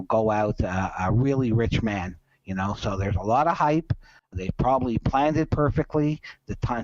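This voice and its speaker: A man's voice: lowish voice, darkish tone, no echo, lo-fi sound. A man hosting a sports betting podcast in casual commentary, opinionated and emphatic, repeating his points for stress.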